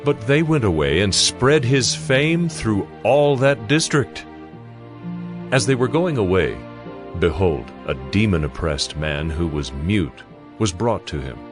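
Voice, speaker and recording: A man's voice: on the low side, big pitch swings, a little slow. A man narrating a dramatized audio Bible in a solemn storytelling manner. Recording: music behind the voice. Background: background music.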